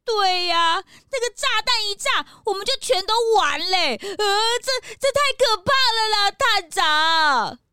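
A squeaky, fretful cruise passenger. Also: female voice, expressive, unhurried, few pauses, bright, forceful tone, a little hiss or hum, heavily acted. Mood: alarmed. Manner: fretful exclaiming. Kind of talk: children's mystery audio drama.

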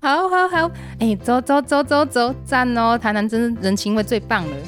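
A woman's voice: on the high side, normal speed, faint music behind, natural voice. A woman with a Taiwanese accent playing a chatty, apologetic guesthouse guest who repeats herself effusively in a comedic audio drama. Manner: effusive, repetitive.

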